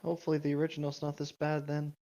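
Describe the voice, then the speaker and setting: A podcast co-host chatting casually and reading a story aloud mockingly, blunt, exasperated and quick to swear. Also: medium pitch, flat delivery, warm tone. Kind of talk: casual banter and mock fanfiction reading. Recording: dull, lo-fi microphone sound.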